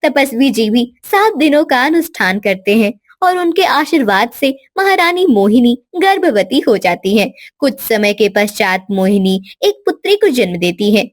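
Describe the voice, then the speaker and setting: A woman's voice: a little high, no room sound, clean studio sound. A woman storyteller giving scripted narration for a cartoon tale.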